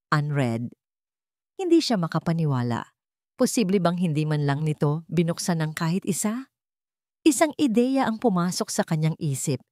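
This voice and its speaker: A woman's voice: fairly low pitch, darkish tone, no echo, studio quality. A woman narrator reading scripted romance fiction in a dramatic storytelling style.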